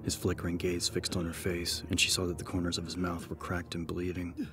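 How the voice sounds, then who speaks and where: A man narrating an audiobook in a measured, solemn, scripted dramatic style. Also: low-pitched, monotone.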